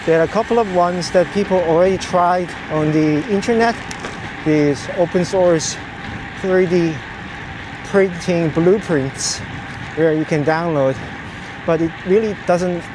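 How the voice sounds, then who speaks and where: A man explaining things in short, halting phrases, vlogging outdoors while out riding on the road. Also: a little high. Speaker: vlogger, cyclist testing camera gear. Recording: clear outdoor road and traffic noise. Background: ambience and vehicle noise.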